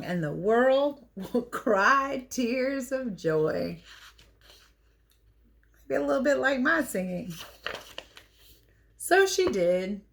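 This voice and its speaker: A woman teacher reading a picture book aloud to children, telling it expressively and adding playful, chatty asides about the pictures. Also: fairly high pitch. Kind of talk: animated read-aloud storytelling with casual asides.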